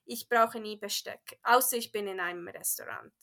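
A woman with a Swiss accent, whose first language is Bernese Swiss German, chatting as a podcast guest. She pauses to search for words and slips into English phrases. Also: mid-range voice, creaky, a bit bright. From Switzerland. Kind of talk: casual interview chat.

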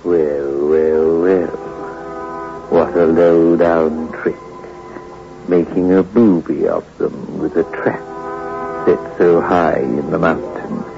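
An actor playing a sardonic horror show host with a deliberate, mock-sinister manner, in 1960s radio style. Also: male voice, sits low, fairly even intonation, gravelly, exaggerated voice. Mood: gleefully macabre. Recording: dull old radio recording. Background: music.

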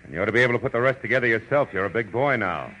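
An actor playing a tough, sardonic private eye in a 1940s radio noir, wry, clipped and world-weary. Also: male voice, medium pitch, animated, rapid-fire, sharp tone, a little hiss or hum. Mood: tough, scornful. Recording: muffled vintage radio recording.

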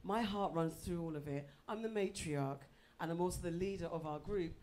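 A middle-aged woman leads a live podcast recording, her voice sitting low for a woman. She talks boldly and playfully. Faint room noise sits under her. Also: normal speed, darkish tone. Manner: bold, swearing, playful banter. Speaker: podcast host. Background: live audience.

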